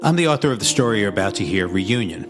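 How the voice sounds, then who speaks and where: A man giving a prepared, reflective introduction as the author of an audio story, in a measured way. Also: fairly low pitch, expressive. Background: clear music.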